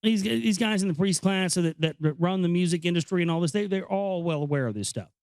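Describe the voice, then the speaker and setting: A man hosting an informal read-aloud, explaining and commenting in a halting, conversational way. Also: high voice, darkish tone, clean tone, no room sound, clean studio sound. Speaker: host reading a book aloud with commentary. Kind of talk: informal reading with off-the-cuff commentary.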